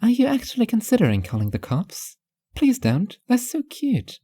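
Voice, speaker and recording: A woman's voice: on the high side, huge pitch swings, normal speed, mellow, husky, dry recording, clean studio sound, full character voice. A woman playing a blunt, teasing workaholic wife with a morbid fondness, in an audio story. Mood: blunt, fond.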